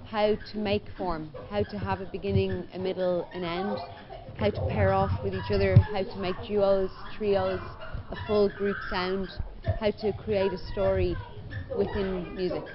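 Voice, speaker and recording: A young woman musician giving a thoughtful, measured interview outdoors by a wall. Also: medium pitch, level tone, muffled recording, a crowd in the background.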